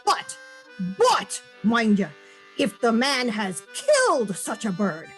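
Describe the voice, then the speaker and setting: A woman's voice: on the high side, huge pitch swings, faint music behind, heavily acted, low-quality recording. A woman acting in a radio play as a superstitious sailor, with an ominous, dramatic way of telling sea lore. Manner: slow, dramatic, ominous storytelling. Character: superstitious Irish sailor, doom-laden teller of sea lore.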